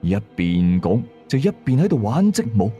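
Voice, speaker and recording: A man with a Hong Kong Cantonese accent tells a horror story in the first person, in a suspenseful, colloquial, expressive way. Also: on the low side, moderate pace. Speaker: ghost story narrator. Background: music behind the voice.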